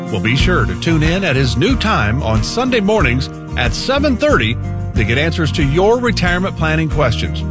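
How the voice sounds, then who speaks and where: Ad announcer guy, high bouncy voice, guitar music playing under him. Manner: smooth, promotional. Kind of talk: scripted radio commercial.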